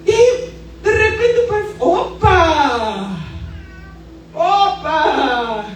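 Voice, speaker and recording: A very echoey, slightly distant church recording with music carries the voice of a Brazilian woman pastor. Her voice is extremely high, and she preaches in an emphatic, animated way, telling stories in everyday colloquial speech. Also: drawn-out words. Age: 50s. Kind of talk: charismatic church preaching with personal storytelling. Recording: echoey hall, distant sound, music underneath.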